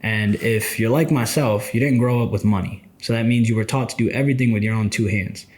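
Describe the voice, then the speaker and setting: A man's voice: fairly low pitch, fairly quick, darkish tone. A man in his twenties talking casually and thoughtfully to the viewer as a trading mentor, addressing them directly. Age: 20s. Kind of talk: casual reflective monologue.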